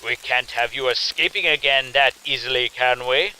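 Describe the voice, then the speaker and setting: A man's voice: medium pitch, normal speed, pushed and bright, a little hiss or hum, poor audio. A man playing a sinister, theatrical festival host in an audio drama, taunting with mock politeness and gleeful menace. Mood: quietly menacing.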